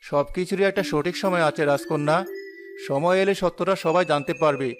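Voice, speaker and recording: A man voicing a mysterious, unseen forest guardian in an animated fairy tale, protective, courteous and formal. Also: fairly high pitch, a little fast, over music, natural voice. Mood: reassuring, mysterious. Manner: formal, solemn, polite.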